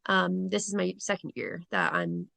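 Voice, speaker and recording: A woman's voice: lowish voice, sing-song, moderate pace, creaky, pushed and bright, no echo. A woman in her twenties from Rhode Island, a teacher and coach talking casually as an interview guest, chatty with lots of ums. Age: 20s.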